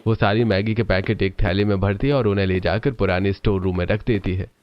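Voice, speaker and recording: Male storybook narrator for cartoons, lower register, slightly dull studio sound. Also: fairly even intonation, fairly quick, a little hiss or hum.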